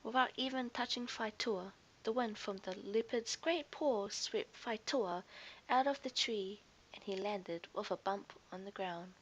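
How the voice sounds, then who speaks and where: A woman's voice: mid-range voice, a little slow, low-quality recording. A woman narrating a scripted children's story expressively, as a storyteller to young listeners.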